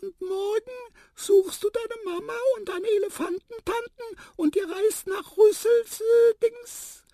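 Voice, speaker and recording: A performer voicing a tiny, cheeky, curious talking mouse with a piping squeak, lively and chirpy, in a children's story. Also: measured pace, few pauses, no room sound, studio quality. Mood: brisk, curious.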